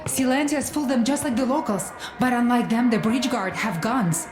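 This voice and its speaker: Earnest, weary young-sounding mother held prisoner, played by a woman, game drama, high voice with echo. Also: moderate pace, music in the background, close to an everyday voice. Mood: urgent. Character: earnest captive mother, weary but newly hopeful. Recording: echoey room.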